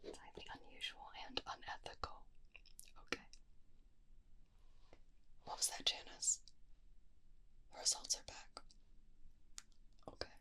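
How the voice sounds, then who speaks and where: A woman doing a whispered ASMR roleplay as a clinic examiner, with a soft, breathy voice and gentle, conversational bedside manner, close to a binaural microphone. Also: slow pace, long pauses, poor audio.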